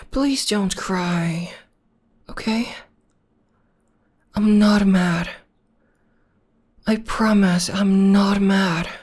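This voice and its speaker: A woman voicing a gentle young witch girlfriend, soothing her upset partner in a soft, often whispered voice close to the microphone. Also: mid-range voice. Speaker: voice actress. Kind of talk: ASMR audio roleplay.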